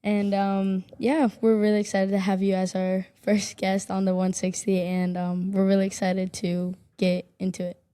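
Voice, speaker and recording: A woman's voice: mid-range voice, monotone, normal speed, hardly any pauses, warm tone, clear, resonant tone. A woman co-hosting a podcast, introducing a guest casually with a few hesitant ums. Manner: friendly, slightly hesitant with ums. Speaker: podcast co-host.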